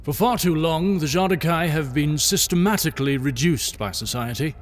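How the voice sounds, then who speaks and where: A man's voice: a little high, moderate pace, a little hiss or hum, close to an everyday voice. An actor playing an earnest, benevolent king giving a solemn, oratorical address in an audio drama. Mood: solemn, resolute. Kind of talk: audio drama speech acting.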